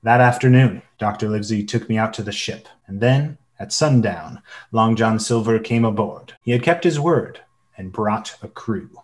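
A man's voice: fairly low pitch, normal speed, close to an everyday voice. A man playing the narrator of a radio play, recalling a boyhood sea adventure in scripted storytelling. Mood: reflective.

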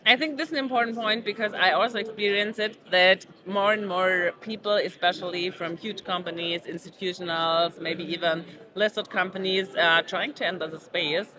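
A woman's voice: lowish voice, normal speed, few pauses. Chatty woman podcast host at a conference. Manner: chatty, leans on "super" and "like". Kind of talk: casual interview chat. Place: busy indoor conference venue. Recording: conference crowd chatter behind.

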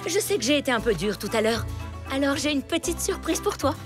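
A woman's voice: high-pitched, animated, fairly quick. A strict cartoon mother. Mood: coaxing. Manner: scolding, bossy. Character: strict, protective village mother. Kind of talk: cartoon dub acting. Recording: cartoon soundtrack with music.

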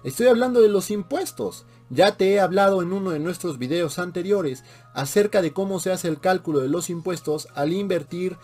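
A young man with a Mexican accent, a personal finance video host, briskly walking through investment sums from a small room, with playful asides. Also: fairly high pitch, darkish tone, husky. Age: young adult. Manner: brisk and upbeat, reads out numbers with playful asides. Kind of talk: explainer vlog walking through calculations. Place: small bedroom with shelves, indoors.

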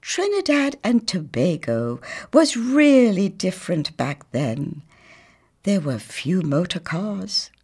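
A woman's voice: on the low side, sing-song, takes it slowly, a little mellow, breathy edge, pitched above the performer's own voice, heavily acted. A woman voicing a proud, genteel old grandmother house in a children's storybook, emphatic and theatrical. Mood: proud, reminiscing. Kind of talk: children's storybook read-aloud.

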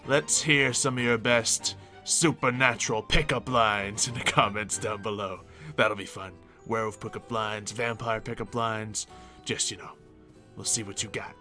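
Man, bright voice, jokey rambling game host, improvised roleplay, faint music behind. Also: medium pitch.

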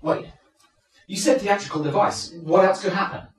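A man's voice: sits high, fairly even intonation, normal speed, lightly acted. A young man playing a defiant, exasperated character in a stage play. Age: young adult. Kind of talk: theatrical stage acting. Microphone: distant camera microphone. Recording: echoey stage, distant mic, loud background sound.

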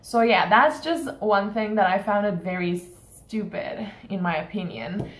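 A woman's voice: mid-range voice, measured pace, reverberant. A young woman from Turkey, a college student vlogging, talks frankly and full of fillers while complaining. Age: young adult. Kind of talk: casual vlog rant. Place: small room indoors.

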